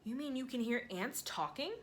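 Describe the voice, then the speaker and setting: A woman's voice: high-pitched, animated, normal speed, a bit bright, pitched above the performer's own voice. A woman voicing a curious, polite little girl who keeps asking eager questions.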